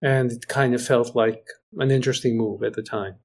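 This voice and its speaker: A man who grew up in Greece, speaking as an interview guest over a video call, halting and often restarting as he searches for words. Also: medium pitch, steady tone, creaky. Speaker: guest, researcher. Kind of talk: casual conversation.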